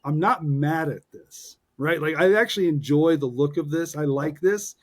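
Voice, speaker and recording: A man's voice: a little high, normal speed. A man in his forties co-hosting a podcast, chatting casually and upbeat, ribbing his co-host with playful jokes. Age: 40s. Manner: upbeat and joking, with many fillers and restarts.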